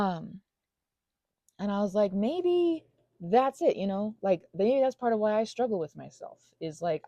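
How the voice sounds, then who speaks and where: A little female goblin , acted by a woman, rambles through an intimate ASMR monologue in a soft, dry voice with some creak. She is anxious and self-deprecating, breaking off mid-thought to soothe herself, her pitch wandering freely up and down. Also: dry recording. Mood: anxious, self-soothing, then sad, musing. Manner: rambling, halting, self-interrupting, self-soothing asides.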